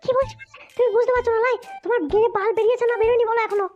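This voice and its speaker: Naggy cartoon wife, west bengal accent, super high sped-up squeak, talks nonstop fast, dull lo-fi sound w music behind. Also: female voice, fairly even intonation, a little mellow. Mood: scolding, aggrieved. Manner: scolding, nagging, foul-mouthed. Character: shrill, quarrelsome young wife, defiant and aggrieved. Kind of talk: amateur cartoon comedy squabbling.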